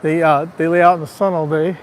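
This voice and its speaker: Male vlogger, raspy from allergies, chatty tour-guide manner, greets viewers by name; phone mic outdoors, light traffic hiss. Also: on the high side, flat delivery, quick pace, rough recording. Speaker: livestream vlogger. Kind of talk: casual live walking tour. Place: outdoors on a town street. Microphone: handheld phone.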